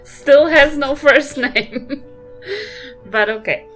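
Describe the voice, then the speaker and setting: A woman's voice: high-pitched, slow, fairly bright tone, faint music behind. A woman with a New Zealand accent playing a tabletop game, making dry, sarcastic asides. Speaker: player. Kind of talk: casual tabletop play.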